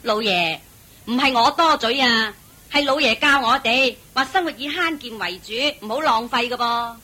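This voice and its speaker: A sharp-tongued old housekeeper. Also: female voice, fairly high pitch, normal speed, low-quality recording. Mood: stubborn. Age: older. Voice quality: sharp-tongued, scolding, stingy. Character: old bossy thrifty female housekeeper. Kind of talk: radio drama acting.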